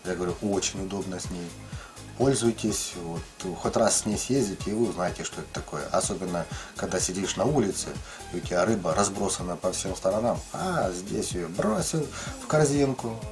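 A man's voice: sits low, brisk, over music. A middle-aged man casually explaining his ice fishing tackle, rambling with many fillers and restarts. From lived in Ukraine, now in the Russian far north.

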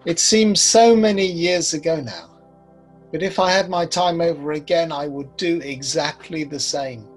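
A man in his sixties playing an older, rueful prophet recalling his past in a measured, reflective dramatic monologue. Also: on the high side, soft background music. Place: home study, indoors. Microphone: video call.

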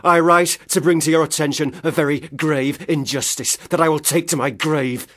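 An actor playing an unstable, self-pitying young Victorian brother, declamatory and erratic, in a period radio drama. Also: male voice, fairly high pitch, steady tone, normal speed, fairly bright tone. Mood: grandiose pleading.

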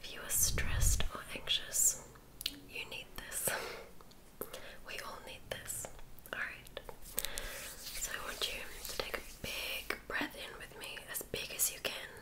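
A woman guiding ASMR relaxation in a breathy whisper, soothing and often repeating her phrases. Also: takes it slowly, pauses often, rough recording. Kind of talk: ASMR sleep hypnosis.

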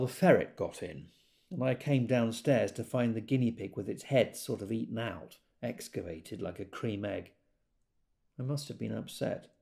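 An actor playing a wry British character in an audio drama, telling memories conversationally and quick to exasperation. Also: male voice, mid-range voice, vocal fry, warm tone. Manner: conversational, acts out quoted speech. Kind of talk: intimate audio drama monologue.

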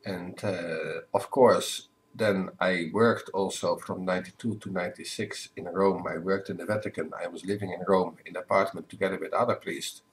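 Low-voiced older man, measured. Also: steady tone, rough recording. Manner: careful, measured, with self-corrections. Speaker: priest, guest. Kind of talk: relaxed interview answers. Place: home living room. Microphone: webcam at desk.

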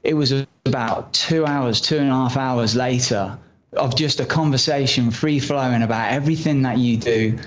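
A man in his thirties hosting an interview podcast, speaking with enthusiasm and slowing down for his introductions. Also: mid-range voice, slight background noise. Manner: enthusiastic, slow and deliberate intros. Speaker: podcast host. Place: studio table in a coworking space. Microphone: boom mic on the table. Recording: dull, muffled sound.

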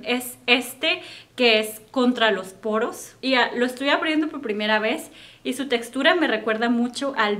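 A young woman with a Mexican accent, chatting casually to camera about makeup she bought, recorded at home in a bright room. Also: fairly high pitch. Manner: chatty and upbeat, friendly direct address. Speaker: beauty vlogger.

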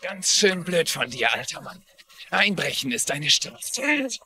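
High-pitched, taunting deputy bully. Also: male voice, very animated, measured pace, over music. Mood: taunting, aggressive. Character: bullying young hilfs-sheriff sneering at an old man. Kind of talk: dubbed TV crime drama acting.

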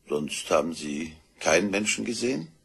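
An actor playing a brusque, dryly sardonic chief inspector in a German radio crime drama, brisk and authoritative. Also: male voice, low-pitched, animated, vocal fry, fairly bright tone, husky, full character voice. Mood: probing. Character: brusque, dry, commanding male police chief inspector. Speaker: police detective.

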